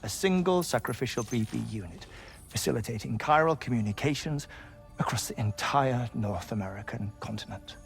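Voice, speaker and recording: An actor playing a genial, scholarly investigator in a video game, speaking in a formal, courteous, wordy way. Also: male voice, mid-range voice, lively intonation, normal speed. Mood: explanatory, measured. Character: genial, scholarly male investigator with old bones. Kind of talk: video game cutscene acting. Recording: game dialogue over clear music.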